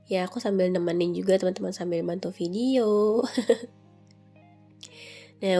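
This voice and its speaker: A woman's voice: medium pitch, gravelly, warm tone. A young woman with a stuffy, nasal voice from a cold, narrating a home vlog in a casual, conversational way, often searching for words. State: sick with flu and sore throat. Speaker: home vlogger. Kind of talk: casual chatty vlog voice-over.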